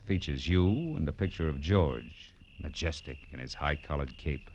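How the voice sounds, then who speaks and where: Deep-voiced hard-boiled private eye. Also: male voice, moderate pace, darkish tone, highs cut off, slight background noise. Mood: explaining, low-key. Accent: American. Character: hard-boiled male private detective, wry and world-weary. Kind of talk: 1940s-50s radio detective acting, first-person narration. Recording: vintage radio broadcast with music.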